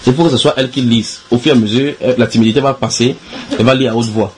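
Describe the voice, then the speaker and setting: A man's voice: medium pitch, fairly even intonation, quick pace, a little hiss or hum. A man leading an informal Bible study at a house church, teasing and coaxing his listeners with questions. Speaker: Bible teacher. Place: house church room with people around.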